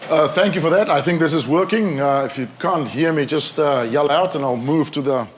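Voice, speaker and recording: A man from South Africa giving a keynote talk at a conference, measured and questioning, slowing down to stress key words. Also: a little high, a crowd in the background, noisy background. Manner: measured, questioning, slows for emphasis. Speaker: conference speaker. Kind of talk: keynote presentation. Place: conference hall.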